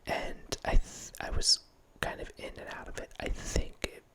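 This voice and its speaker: A man in his thirties hosting a whispered ASMR ramble, speaking softly right into a close studio microphone in a small home room, with lots of self-corrections. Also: moderate pace. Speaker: ASMR channel host. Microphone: close studio microphone, wearing headphones.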